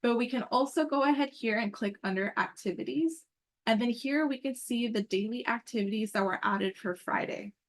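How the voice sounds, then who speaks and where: A woman's voice: a little high, normal speed. A woman giving an informal, upbeat, explanatory walkthrough as the presenter on a work call. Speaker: meeting presenter and program coordinator. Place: online group call.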